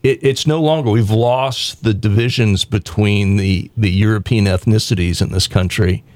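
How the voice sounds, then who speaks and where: A talk show host from the American South with a slightly low voice. He speaks bluntly and opinionatedly, often stopping and restarting his sentences. The recording is dry and close. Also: darkish tone, dry recording. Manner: blunt, provocative, halting with restarts.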